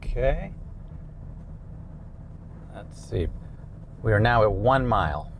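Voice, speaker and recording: A man's voice: lowish voice, big pitch swings, slow, slight background noise. A man presenting a product range test in a casual, conversational way from inside a car.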